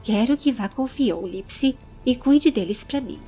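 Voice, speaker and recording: Woman acting a firm, protective Brazilian lady, high-voiced. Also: lively intonation, unhurried, warm tone, faint music behind. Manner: firm, urgent, maternal authority. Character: commanding, protective lady of a treehouse. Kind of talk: dramatized fantasy audiobook.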